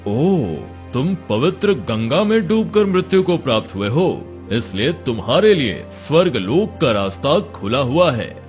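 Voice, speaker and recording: A man's voice: mid-range voice, big pitch swings, unhurried, hardly any pauses, darkish tone, soft background music. A man voicing an imperious god of death holding court in a cartoon moral story, with a stately, theatrical delivery.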